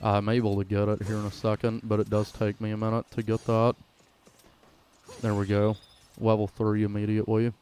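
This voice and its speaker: A man's voice: lowish voice, monotone, brisk, a little mellow, slight background noise. A man giving casual, informal gameplay commentary as the creator of a gaming video.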